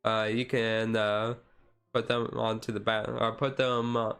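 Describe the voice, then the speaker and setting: Casual, halting man streamer, chill delivery. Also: lowish voice, flat delivery, creaky, a bit bright, smooth. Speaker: streamer host. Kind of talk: casual card game deck commentary, chill ASMR stream.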